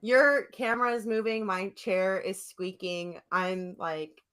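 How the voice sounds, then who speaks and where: Woman podcast host, average pitch, just chatting informally, chimes in and agrees a lot. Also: fairly even intonation, slow, a bit bright, clear, resonant tone. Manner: informal, reactive, chiming in with agreement. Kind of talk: casual chat.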